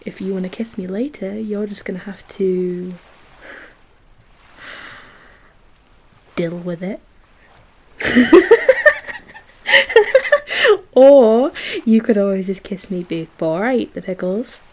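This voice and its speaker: A woman's voice: mid-range voice, slow pace, pauses often, dark tone, slight background noise. A woman acting a playful, affectionate girlfriend in a romantic audio roleplay, with a theatrical, teasing manner. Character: playful, dramatic, affectionate girlfriend on a beach picnic. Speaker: voice actor.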